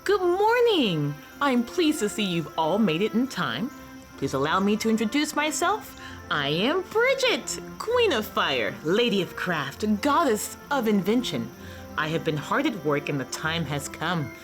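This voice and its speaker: Actress as regal smith goddess, proud, very animated swooping intonation, stately radio play delivery, clear music behind. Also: female voice, medium pitch, unhurried. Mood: proud greeting. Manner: declamatory, stately, self-assured. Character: proud regal fae goddess of fire and craft.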